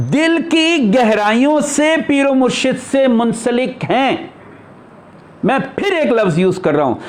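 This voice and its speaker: Male spiritual teacher, distinctly high-pitched. Informal sermon delivery, explanatory and emphatic. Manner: emphatic, explanatory, with rhetorical questions. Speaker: spiritual teacher answering followers' questions. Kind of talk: informal religious discourse.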